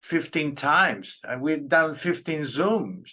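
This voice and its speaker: A middle-aged man from Peru, a native Spanish speaker, chatting casually in English as an interviewer and pausing often as he explains himself. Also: a little high, animated, bright, forceful tone.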